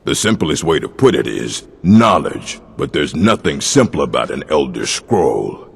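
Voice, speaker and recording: An actor playing a gruff older male orc librarian in a video game, dry, weary and curt, with a gravelly, husky voice. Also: a bass voice, heavily acted. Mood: lecturing. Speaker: game character. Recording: long hall reverb.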